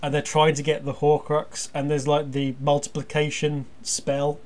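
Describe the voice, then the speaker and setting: A man hosting a casual fan podcast, rambling excitably and jokily through stories and listener feedback. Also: fairly high pitch, normal speed. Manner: excitable, jokey, meandering storytelling.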